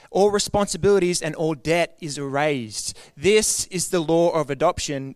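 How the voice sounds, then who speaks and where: Earnest male preacher, smooth dry voice. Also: high-pitched, no room sound. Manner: earnest, conversational preaching with rhetorical questions. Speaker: pastor. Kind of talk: sermon.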